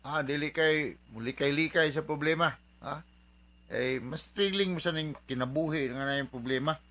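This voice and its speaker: A man's voice: on the high side, normal speed, bright, forceful tone. A man with a Cebuano accent, a doctor hosting an advice program, talking informally with English mixed in and frequent questioning tags. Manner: informal, conversational, often tags phrases with questioning interjections. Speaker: radio advice host, doctor and psychiatrist. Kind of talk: radio advice talk mixing in English.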